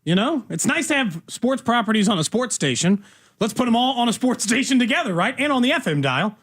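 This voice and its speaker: Male sports radio host, husky, very high pitch, animated casual talk. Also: fairly quick. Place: radio studio.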